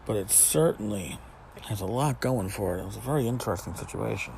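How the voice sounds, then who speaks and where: A man's voice: lowish voice, animated, fairly quick, gravelly, darkish tone, rough recording. A man hosting a podcast alone, talking casually in a rambling, exasperated, sarcastic way. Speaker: podcast host. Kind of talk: casual solo commentary, reading out emails. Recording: noticeable background noise.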